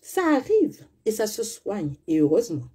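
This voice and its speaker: Husky, lively high-voiced woman. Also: moderate pace. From French Caribbean (Guadeloupe, Martinique). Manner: emphatic, blunt, fires rhetorical questions. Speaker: spiritual coach. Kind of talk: monologue to followers.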